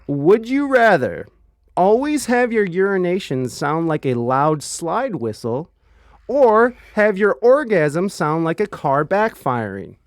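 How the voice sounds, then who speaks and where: Man, stream host, lively pitch, joking, casual, dry close mic. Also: no room sound. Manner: joking, playful, reads chat aloud. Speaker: host of a streamed talk show. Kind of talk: casual livestream interview.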